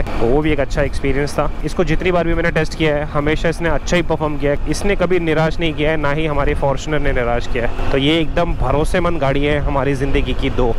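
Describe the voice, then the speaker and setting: A young man with a Mumbai Hindi accent, chatting playfully while riding a motorcycle in the rain. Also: a little high. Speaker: motovlogger host. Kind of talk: casual vlog chat while riding. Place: outdoors on a motorcycle in rainy city traffic. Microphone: helmet or bike-mounted action camera. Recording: loud road and wind noise outdoors.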